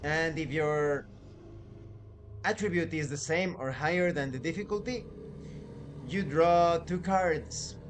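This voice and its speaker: A man giving an informal spoken review as a show host, explaining in a halting way with conversational asides. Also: a little high, slight background noise. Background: background music.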